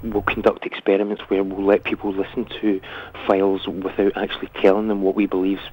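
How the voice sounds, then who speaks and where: A man with a very deep voice and a husky edge who talks as an interview guest. He explains things slowly and stops often with ums as he searches for words. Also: monotone, darkish tone, rough recording. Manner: hesitant, lots of ums. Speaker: guest researcher. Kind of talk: interview answers.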